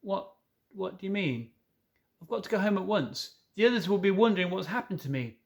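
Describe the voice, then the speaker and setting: A man reading a storybook, voicing a kind, anxious young girl who stammers and pleads in a high voice. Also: very animated, fairly quick. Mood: worried.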